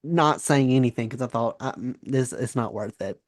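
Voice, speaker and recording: A man's voice: medium pitch, moderate pace, dry recording, studio quality. A young man in his twenties hosting a podcast, chatty and self-deprecating. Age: 20s. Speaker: podcast host. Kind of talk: casual interview chat.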